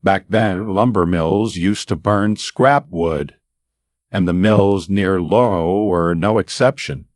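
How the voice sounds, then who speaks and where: Slow, deep-voiced male storyteller. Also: no echo, clean studio sound. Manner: dramatic, deliberate phrasing with suspenseful pauses. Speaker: storyteller, narrator. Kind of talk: scripted radio-style narrated true story.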